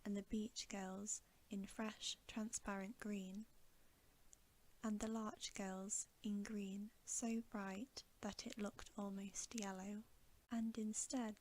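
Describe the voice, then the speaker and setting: Female ASMR reader for bedtime stories: hushed, gentle whisper, very slow pacing, even intonation. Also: mid-range voice, a little hiss or hum, rough recording. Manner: soft, gentle, hushed and unhurried.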